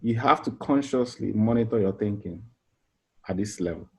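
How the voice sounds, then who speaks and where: A man's voice: lowish voice, moderate pace, gravelly, darkish tone. A middle-aged man giving an informal motivational class as a life coach, earnest and conversational, often restarting phrases and asking rhetorical questions.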